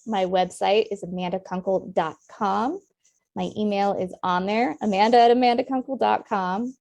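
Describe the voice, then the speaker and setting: Talkative woman with a gravelly voice. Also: medium pitch, fairly even intonation, normal speed. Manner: personal, talkative, warm-hearted. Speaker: business owner, guest. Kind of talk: interview answers.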